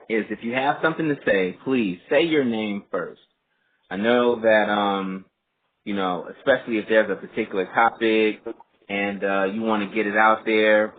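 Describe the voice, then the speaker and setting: A man hosting a group phone call, talking casually and politely in a halting, explanatory way. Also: fairly low pitch. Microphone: telephone conference line. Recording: muffled phone line with clear noise, faint.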